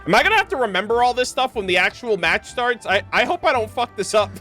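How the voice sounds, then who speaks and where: A man doing casual, slangy live game commentary, excitable and swearing freely, sometimes reading game text aloud. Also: very high voice, fast talker, faint music behind. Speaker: game streamer.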